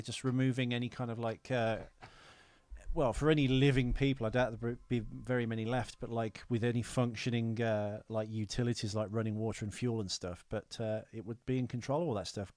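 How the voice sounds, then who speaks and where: A man's voice: medium pitch, darkish tone, dry recording. A British man with an ordinary mid-range voice talks casually as a podcast co-host. He rambles through his opinions on film, looping back over his points and swearing freely. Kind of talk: casual film review chat.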